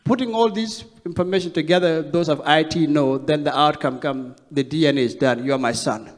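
A man from South Sudan shares a personal story from a stage mic before a congregation. His voice sits a little high. He acts out the dialogue in his story. The big worship hall lends a long reverb. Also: fairly quick. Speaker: speaker giving a testimony. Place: large wood-panelled worship hall with audience.

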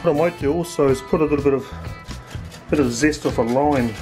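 A man in his forties with a New Zealand accent, a little hoarse, chatting casually as he cooks and tastes food in his home kitchen. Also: a little high, a little fast, faint music behind. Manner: relaxed, chatty, thinking aloud with fillers. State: slightly hoarse, losing his voice. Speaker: home cook vlogger. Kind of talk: casual cooking commentary.

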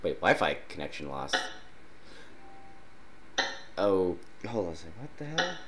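Man, congested, sick, low voice, slow-talking, game commentary, loud game music behind. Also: heavy background noise. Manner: clears throat often, reads dialogue in a flat voice. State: sick, congested with a sinus infection and sore throat. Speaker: gaming YouTuber. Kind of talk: casual let's-play commentary, reading game text aloud. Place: small dark room at home.

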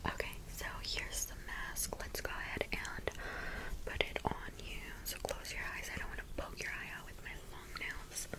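A young woman whispers throughout, close to the mic. Her delivery is soft, soothing and intimate, like ASMR personal attention from a caring friend. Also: normal speed, poor audio. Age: 20s. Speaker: ASMR creator. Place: bedroom, indoors. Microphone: close-up, in front of camera.

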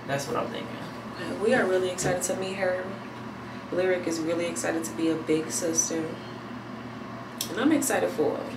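A pregnant woman in her thirties chatting casually to camera at home, thinking aloud in a relaxed, rambling way. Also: on the low side, moderate pace, long pauses. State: heavily pregnant. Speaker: vlogger. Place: small bathroom at home, indoors. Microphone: camera mic a few feet away. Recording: echoey room, distant mic, air conditioner hum.

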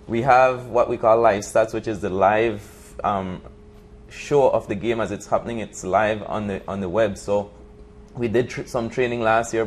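A man's voice: fairly low pitch, slight background noise. A man answering as a guest in a studio interview, searching for words as he goes. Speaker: basketball league official, interview guest. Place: TV studio set, indoors. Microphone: lapel.

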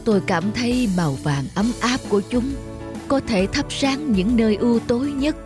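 A woman's voice: on the high side, expressive, normal speed, music in the background, natural voice. A woman voicing a sorrowful, withdrawn old widower in a dubbed cartoon. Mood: tender. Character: grieving old bearded widower father. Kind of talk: cartoon dubbing.

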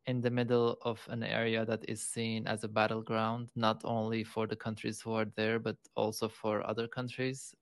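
A man's voice: on the low side, dry recording. A man in his thirties from Lebanon, a guest telling his story in a remote interview, reflective and earnest, with frequent fillers. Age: 30s. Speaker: guest, animal rights activist. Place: small home room. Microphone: studio microphone on boom, close.

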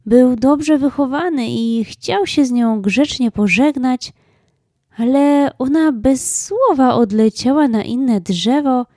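A woman narrating a children's story in a calm, scripted storytelling style. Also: a little high, dry recording, clean studio sound.

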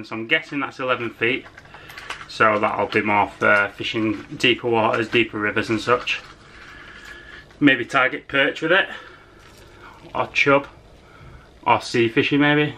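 Young guy unboxing fishing lures at his desk, chatty n upbeat, bright-ish voice, a bit of hiss behind. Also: mid-range voice, low-quality recording. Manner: easygoing, enthusiastic, chatty with filler words. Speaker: fishing vlogger. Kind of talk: casual product unboxing and fishing vlog. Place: small room indoors at home.

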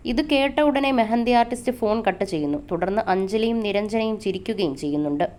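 A woman's voice: mid-range voice, lively intonation, quick pace, darkish tone. A woman narrating a scripted entertainment news report.

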